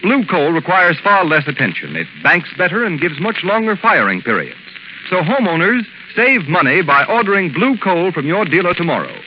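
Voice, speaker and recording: A man works as a vintage radio announcer, selling formally and emphatically. His pitch is fairly high and very lively, on a muffled old recording. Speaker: radio announcer and sponsor spokesman. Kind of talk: scripted 1930s radio advertising. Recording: noisy, muffled 1930s radio broadcast.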